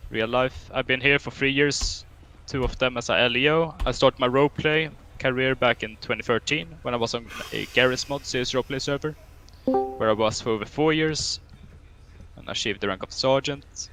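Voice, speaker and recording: A man's voice: mid-range voice, normal speed, sharp tone, a little hiss or hum, rough recording. A young man with a Swedish accent running a roleplay police training, stern and drill-like with dry humor. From Sweden. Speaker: training officer.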